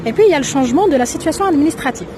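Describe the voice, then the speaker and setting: Rambling high-voiced woman interviewer. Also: lively intonation, racing, noisy background. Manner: rambling questions, many fillers. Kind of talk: casual interview.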